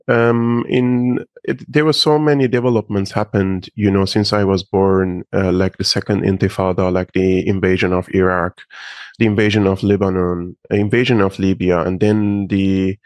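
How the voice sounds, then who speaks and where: A young man from Syria, Arabic and Armenian his first languages, answering interview questions in a measured way with frequent pauses. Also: sits low, level tone, a little mellow, no room sound. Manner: measured, many pauses and "uh" fillers. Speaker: guest analyst.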